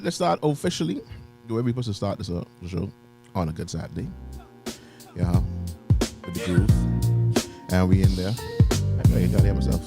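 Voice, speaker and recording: A man's voice: deep voice, vocal fry. A man co-hosting a morning radio show, joking and laughing through casual banter. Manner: jokey, laughs often, hypes the show start. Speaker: radio co-host. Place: radio studio.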